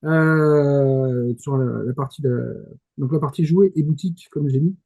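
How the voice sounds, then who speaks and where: A man's voice: mid-range voice, a little slow, gravelly, warm tone, husky. A man in casual panel talk about anime, speaking as an enthusiastic fan who often searches for his words. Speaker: correspondent in Japan.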